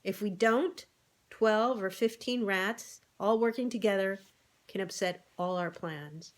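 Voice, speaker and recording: A woman's voice: medium pitch, a little slow, natural voice. Earnest pig organizer voiced by a woman reader. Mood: earnest, worried. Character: earnest worried male pig, clever unwarlike organizer.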